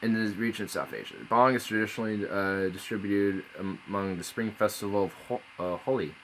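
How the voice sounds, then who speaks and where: A man's voice: on the low side, brisk, slight background noise, low-quality recording. Laid-back rambling male streamer. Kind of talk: casual live-stream chat. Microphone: phone.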